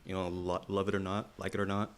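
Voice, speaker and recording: Male podcast co-host, lowish voice, rapid casual talk, slangy and sweary. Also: monotone. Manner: slangy, peppered with "you know what I mean", swears freely. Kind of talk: casual chat.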